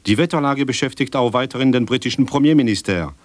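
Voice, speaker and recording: A man playing a straight-faced radio newsreader, reading a formal bulletin in a measured, official way. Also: mid-range voice, no echo, lightly acted. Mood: deadpan.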